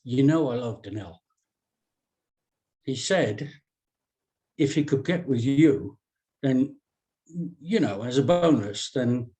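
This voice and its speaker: Man reads streetwise young woman, slangy, pleading, unhurried delivery, mid pitch. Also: lively intonation, dark tone. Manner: slangy, coaxing. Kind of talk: screenplay table read.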